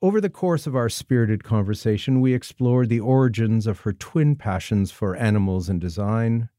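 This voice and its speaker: A man hosting an interview podcast, reading a measured introduction and asking thoughtful questions. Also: lowish voice, mellow, no echo, clean studio sound. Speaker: podcast host.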